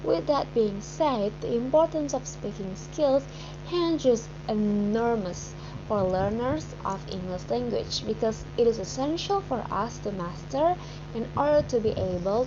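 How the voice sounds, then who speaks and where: A young woman with an Indonesian accent, carefully reading a student presentation from a script. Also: fairly high pitch. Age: young adult. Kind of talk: read-aloud academic presentation. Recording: dull recording with clear background hum.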